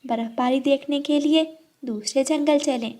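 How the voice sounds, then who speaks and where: A woman playing a kindly, caring mother sparrow in a children's cartoon, gently organising and instructing. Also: high voice, fairly even intonation, moderate pace, natural voice. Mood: eager, friendly.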